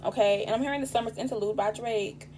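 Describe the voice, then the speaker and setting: A woman giving a casual tarot reading, rambling and profane with streetwise slang, her voice raspy this morning. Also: a little high, fast talker, a little mellow, a little hiss or hum. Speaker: tarot reader.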